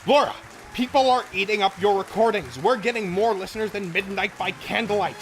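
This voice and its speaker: A man playing an excitable, pushy radio station boss in an old-time adventure serial, eager and emphatic. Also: sits high, a little fast, faint music behind. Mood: excited, demanding.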